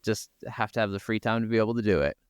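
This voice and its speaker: A man's voice: on the low side, fast, smooth, no echo, studio quality. A man co-hosting a podcast, chatting casually about games with some offhand swearing. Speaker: podcast co-host. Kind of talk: casual gaming podcast chat.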